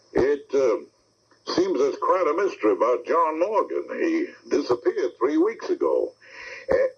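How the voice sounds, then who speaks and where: A man playing a folksy, sympathetic small-town figure in a 1970s radio drama, halting and conversational, on a dull AM broadcast. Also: mid-range voice, slightly rough.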